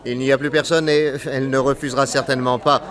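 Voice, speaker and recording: A man's voice: mid-range voice, level tone, fast talker, fairly bright tone, close to an everyday voice. Guy acting a suave confident detective in a french radio play, mid voice, commanding but gallant. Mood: genial, gallant.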